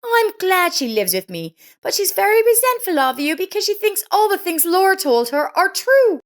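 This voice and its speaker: A woman's voice: very high-pitched, sing-song, normal speed, voice pushed up. A woman voicing a kind, emotional young woman neighbor in a narrated life story. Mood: bitter, sympathetic. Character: kind, bitter young neighbor woman defending an old mother.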